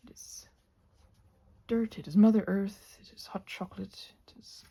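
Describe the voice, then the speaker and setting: A woman with a soft, breathy voice, often close to a whisper, musing aloud in a playful, whimsical ASMR ramble as she crafts. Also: mid-range voice, normal speed.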